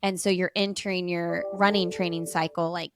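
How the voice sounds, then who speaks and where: A woman's voice: fairly low pitch, flat delivery, normal speed, background noise. A chatty woman guest, a podcast host and race announcer, talking casually and laughing often. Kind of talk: casual chat.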